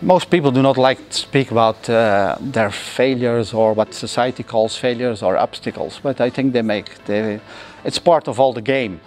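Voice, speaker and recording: A middle-aged man with a Flemish accent speaks at a medium pitch, reflecting slowly and stopping often for "uh" as he searches for words. His phrasing is careful and a bit non-native. Faint music plays underneath. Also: a little mellow. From Belgium (Flanders). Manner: halting, frequent "uh" pauses, non-native phrasing. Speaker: interviewee, entrepreneur. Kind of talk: reflective interview answers.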